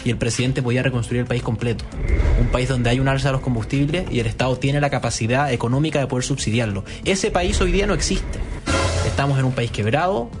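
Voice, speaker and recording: Quick-talking Chilean male panelist, analytical, music playing underneath. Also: mid-range voice. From southern Chile. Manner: measured, analytical, slight repetitions. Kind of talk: radio panel discussion.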